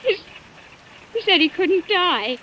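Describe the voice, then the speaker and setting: A woman's voice: very high voice, very animated, slow pace, dull audio, heavily acted. Urgent frightened woman, vintage radio suspense. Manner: urgent, melodramatic. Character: frightened but quick-thinking woman accomplice, urgent. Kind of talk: old-time radio suspense acting. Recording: vintage radio, faint noise and rain.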